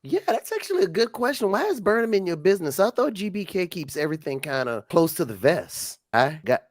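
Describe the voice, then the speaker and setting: A man's voice: high voice, sing-song, a little fast, no echo, clean studio sound. A man co-hosting a wrestling podcast as a referee, chatting casually with nervous, stammering restarts.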